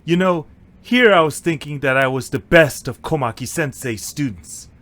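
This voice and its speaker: A man's voice: a little high, animated, normal speed, fairly bright tone. A man playing a confident, competitive young martial arts student in an audio drama, with faint noise in the recording. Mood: good-natured rivalry.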